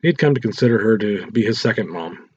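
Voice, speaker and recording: A man's voice: on the low side, expressive, brisk, breathy edge. A man narrating a scripted story as an audiobook reader. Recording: roomy echo, dull lo-fi sound.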